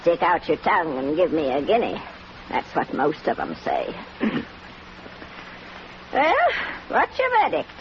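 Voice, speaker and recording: An actress playing a sharp-tongued, bossy, suspicious old country matriarch in a 1940s radio drama. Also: female voice, sits low, wildly swooping pitch, unhurried, long pauses, full character voice. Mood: scornful, bossy. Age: older. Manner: imperious, blunt, scornful. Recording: muffled, noisy 1940s radio recording.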